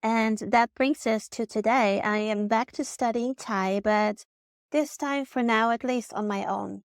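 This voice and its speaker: Dutch-accented woman, smooth dry voice. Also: on the high side, fairly even intonation, measured pace, dry recording. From Netherlands. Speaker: vlogger. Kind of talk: scripted personal vlog narration.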